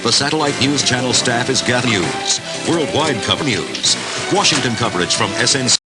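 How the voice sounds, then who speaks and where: A man's voice: mid-range voice, animated, brisk, poor audio. An American man delivering a scripted television promo voice-over as an announcer. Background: loud music.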